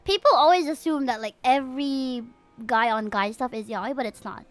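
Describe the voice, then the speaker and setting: A woman's voice: high-pitched, normal speed, no room sound. A young woman livestreamer from the Philippines talking casually and candidly to her viewers, in an informal way. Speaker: livestreamer playing a video game.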